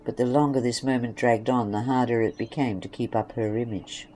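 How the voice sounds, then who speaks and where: Mid-pitched male narrator, faint noise behind. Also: steady tone, fairly quick, dark tone, poor audio. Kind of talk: scripted story narration.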